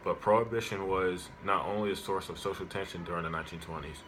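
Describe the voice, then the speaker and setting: Low-pitched man reading an informative speech. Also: steady tone, fast, fairly bright tone, slight background noise, low-quality recording. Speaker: student speaker giving an informative speech. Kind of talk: prepared informative speech, read aloud.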